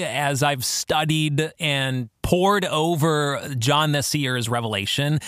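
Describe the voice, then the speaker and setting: Higher-voiced man hosting a podcast, close dry sound. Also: a bit bright, no room sound. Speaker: podcast host and author. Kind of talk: reflective solo podcast talk.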